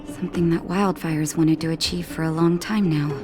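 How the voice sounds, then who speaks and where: A calm woman doctor from a video game, played by an actress, with a slightly low voice. She speaks gently and with composure, reassuring and caring. Soft music plays behind her scripted lines. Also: moderate pace, natural voice.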